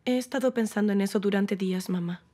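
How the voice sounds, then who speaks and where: A young woman dubbing a guilty, anxious daughter in a TV drama, speaking in a hurried, pleading way. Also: medium pitch, mellow, close to an everyday voice. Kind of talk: Spanish dub of a TV drama.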